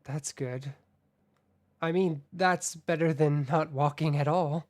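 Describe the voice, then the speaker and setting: An actor playing a timid, kindly royal attendant in an audio drama, polite and deferential, somewhat hesitant. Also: male voice, a little high, fairly even intonation, slow pace, no echo, clean studio sound. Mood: awkward, polite.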